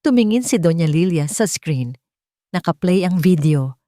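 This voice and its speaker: A woman narrates a scripted dramatic love story in a fairly low voice. Her delivery is suspenseful and emphatic, with pauses for effect. The recording is dry and close. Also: animated, warm tone, no echo.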